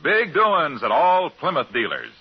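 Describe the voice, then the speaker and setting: Animated, husky American radio pitchman. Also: measured pace. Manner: punchy, promotional. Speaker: commercial announcer. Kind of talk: old-time radio advertisement. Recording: muffled old radio recording.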